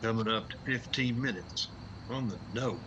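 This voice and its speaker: A man's voice: mid-range voice, moderate pace, highs cut off, noisy background, lightly acted. An actor with an American accent playing a prickly, cynical newspaperman in a radio drama, dry and sardonic. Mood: tense, wary. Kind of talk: 1950s radio drama acting.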